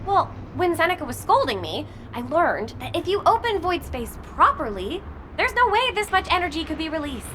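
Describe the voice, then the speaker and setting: Very high, bubbly young fighter girl. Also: sing-song, normal speed, fairly bright tone, slight background noise, exaggerated voice. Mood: curious. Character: eager, bubbly young female fighter, caring sidekick. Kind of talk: video game voice acting. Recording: music behind.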